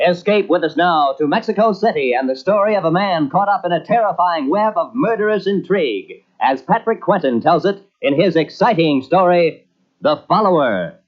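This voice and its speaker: Brisk old-time radio announcer man. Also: high voice, expressive. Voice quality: brisk, formal announcer delivery. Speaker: network announcer. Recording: dull lo-fi old radio tape.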